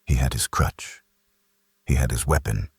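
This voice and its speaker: Very deep, husky male audiobook narrator. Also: fairly even intonation, unhurried, no echo, clean studio sound. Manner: grave, suspenseful storytelling. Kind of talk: dramatic scripted sci-fi narration.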